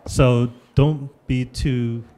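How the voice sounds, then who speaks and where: A man commentates on a live event and chats with the competitors. His manner is joking and teasing, and he often restarts his sentences. His voice sits in an ordinary middle pitch. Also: steady tone, extremely slow, mellow, slight background noise.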